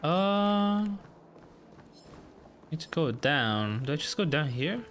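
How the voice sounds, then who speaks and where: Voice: mid-range voice, big pitch swings, slow, background noise. A game streamer giving casual commentary and thinking aloud while playing.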